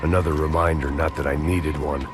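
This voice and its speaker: An American man playing a weary, cynical middle-aged ex-cop antihero, narrating in a deadpan, hard-boiled noir style for a video game. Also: a bass voice, brisk. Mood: grim, sardonic. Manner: deadpan, sardonic, world-weary. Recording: music playing behind the voice.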